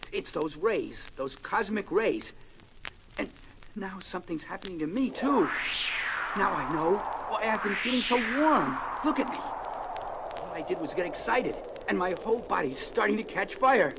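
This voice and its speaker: An actor playing an excitable, earnest teenage boy superhero with wide-eyed delivery in a 1970s audio drama. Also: sits high, unhurried, heavy background noise, heavily acted. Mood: alarmed, excited. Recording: vintage record with loud music behind.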